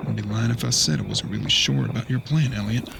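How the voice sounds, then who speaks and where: A man's voice: mid-range voice, fast, creaky, background noise. An American man playing a doubtful but game young apprentice bounty hunter in a western audio drama, questioning with a sardonic edge. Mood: wary, doubtful.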